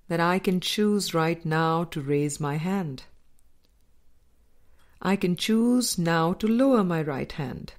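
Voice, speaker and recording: Woman, lowish voice, slow pace, scripted spiritual audiobook narration, deliberate phrasing, measured pauses. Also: darkish tone. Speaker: audiobook reader.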